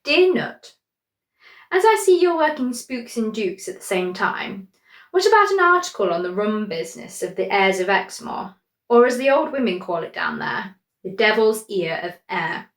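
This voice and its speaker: A woman's voice: mid-range voice, big pitch swings, moderate pace, roomy sound. A woman reading a witty male journalist's letter in a wry, conversational way.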